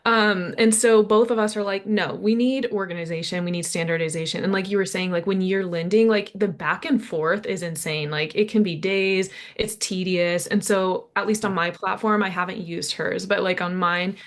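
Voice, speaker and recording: A woman's voice: mid-range voice, fairly even intonation, moderate pace, hardly any pauses. Eager young woman saying "like" a lot. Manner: eager, filler-heavy, lots of "like". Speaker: guest pitching an app. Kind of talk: casual online chat.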